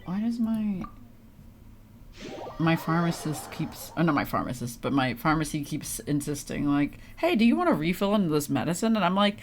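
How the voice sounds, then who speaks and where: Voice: medium pitch, very animated, moderate pace, slight background noise. A young adult live streamer giving casual, informal, self-deprecating commentary while playing a game. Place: indoor live stream with game music behind.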